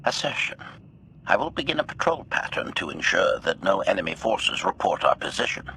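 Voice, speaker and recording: A man's voice: deep voice, big pitch swings, fairly quick, bright, forceful tone, slight background noise, heavily acted. A man voicing a formal, coldly analytical assassin droid with stiff, literal delivery and a robotic filter in a sci-fi game. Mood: clinical. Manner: announces statement type, stiff and literal.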